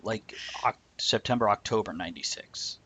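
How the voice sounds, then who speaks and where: A man's voice: lowish voice, normal speed, sharp tone, husky. A man hosting a casual, conversational live-streamed talk show.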